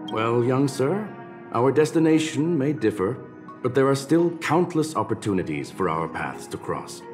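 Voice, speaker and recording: A man playing a courteous, scholarly mechanical gentleman in a video game, speaking in a formal, measured and philosophical way. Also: medium pitch, sing-song, music in the background, lightly acted. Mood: reflective, courteous. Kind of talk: scripted game dialogue.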